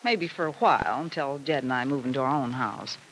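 A woman's voice: very deep voice, a little fast. An actress playing a weary, anxious middle-aged American mother in a vintage radio soap, fretful and hesitant in her delivery. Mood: anxious, protesting. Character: weary, anxious, self-sacrificing mother of grown daughter. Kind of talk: 1950s radio soap acting. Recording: noisy old radio transcription.

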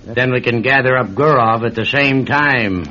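A man's voice: medium pitch, moderate pace, sharp tone. A man playing a blunt, cynical intelligence boss in a radio drama, speaking in a brusque, assured, colloquial way. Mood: confident. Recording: dull old-style radio recording.

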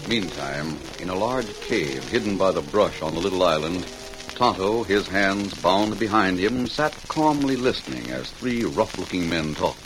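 Deep-voiced male radio drama narrator. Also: animated, moderate pace. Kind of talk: dramatic old-time radio scripted narration. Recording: hissy, crackly old radio recording.

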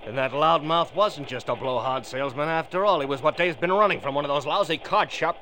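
A man's voice: fairly quick, pushed and bright. An actor playing a curt, threatening American tough guy with a gun in 1940s radio drama, talking in slang. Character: menacing slangy male gunman protecting his younger brother. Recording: noisy old radio recording, vehicle rumble.

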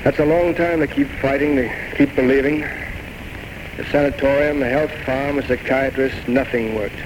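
A man's voice: medium pitch, steady tone, normal speed, close to an everyday voice. An actor playing a tormented, grandiose alcoholic writer in his thirties, rambling theatrically and bitterly mocking himself in an old radio drama. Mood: weary. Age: 30s. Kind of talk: 1940s-1950s radio drama acting. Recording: noisy old radio broadcast recording.

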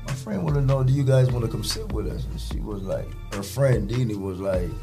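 Jamaican-born man, low, telling a lively story. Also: fairly quick, gravelly, over music. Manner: animated, acts out quotes with sudden loud high bursts. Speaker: guest. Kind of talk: casual storytelling.

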